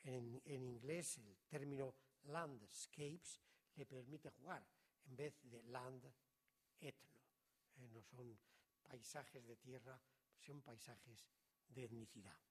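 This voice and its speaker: A middle-aged man, reading a prepared academic lecture in a measured, formal way that often trails off quietly at the ends of phrases. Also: mid-range voice, slightly rough. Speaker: conference speaker.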